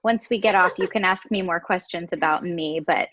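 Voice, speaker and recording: A woman's voice: lowish voice, normal speed, fairly bright tone, dry recording, highs cut off. A woman hosting an interview over a video call, upbeat and chatty as she introduces her guest. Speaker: podcast host.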